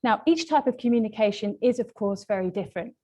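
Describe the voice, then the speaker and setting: Mellow-voiced woman presenting a webinar. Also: fairly high pitch, animated, quick pace. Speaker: webinar presenter, language trainer. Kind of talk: webinar lesson, semi-scripted presentation.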